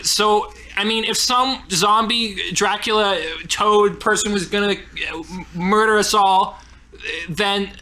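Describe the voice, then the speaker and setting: A man's voice: sits high, sharp tone, exaggerated voice, low-quality recording. A man voicing anxious, argumentative camp kids in a tabletop game, earnest and stumbling over the words. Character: anxious, argumentative young camper kid.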